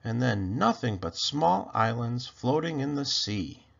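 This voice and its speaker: A man reading a children's storybook aloud as narrator, with expressive, deliberate and dramatic delivery. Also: mid-range voice, creaky, muffled recording. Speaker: storybook narrator, channel host.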